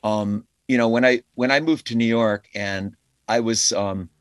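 A man's voice: medium pitch, fairly even intonation, unhurried, no room sound. Middle-aged male musician, interview guest: casual, rambling, enthusiastic, dry close sound. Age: middle-aged or older. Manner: rambling, enthusiastic, frequent "you know" and "like". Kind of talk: casual, reflective interview conversation. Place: calling in remotely to a podcast.

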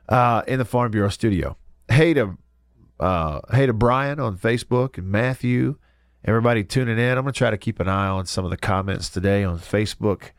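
A man's voice: medium pitch, clear, resonant tone, no room sound. A man hosting a radio sports talk show in a studio, congested from a cold, easygoing and chuckling, with frequent restarts. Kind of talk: casual radio chat.

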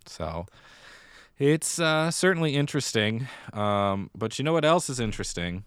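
A man's voice: medium pitch, big pitch swings, moderate pace, a bit bright, clear, resonant tone, no room sound. An American man co-hosting a podcast, chatting casually with a wry, dry sense of humor. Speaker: podcast co-host. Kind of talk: casual theatre news chat.

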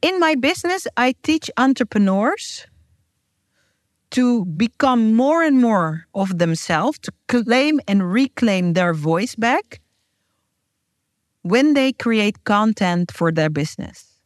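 A woman's voice: on the high side, expressive, takes it slowly, no echo, clean studio sound. Earnest woman. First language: Dutch. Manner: earnest, heartfelt, emphatic. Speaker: podcast host and guest. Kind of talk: scripted podcast intro and casual chat.

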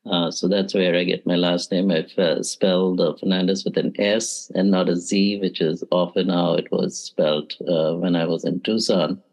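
A man's voice: low voice, flat delivery, moderate pace, gravelly, few pauses, darkish tone. A middle-aged man from India, a math education professor, giving thoughtful interview answers with frequent "uh" and "you know".

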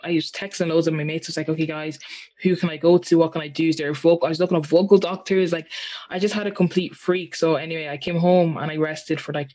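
A young man with a somewhat high voice chats freely about his singing life. He tells his story in an animated, rambling way, full of fillers. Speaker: singer and guest. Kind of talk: casual chat.

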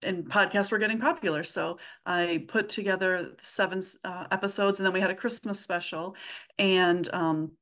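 Slightly low-voiced woman, chatting informally. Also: steady tone, moderate pace, fairly bright tone. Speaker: podcast guest, comedy audio drama writer. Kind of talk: casual interview chat.

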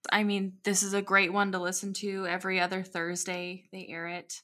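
A woman's voice: mid-range voice, completely flat delivery, moderate pace, sharp tone, lightly acted. A woman playing an awkward, put-upon young woman giving a casual in-character podcast outro, hesitant and aggrieved. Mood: resigned. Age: young adult. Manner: hesitant, aggrieved, rambling, sighing.

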